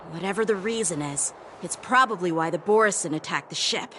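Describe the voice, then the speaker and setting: A woman voicing a composed, authoritative swordswoman in a video game, speaking in a measured, official way. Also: on the low side, pushed and bright, slight background noise. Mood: composed authority. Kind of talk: video game story dialogue.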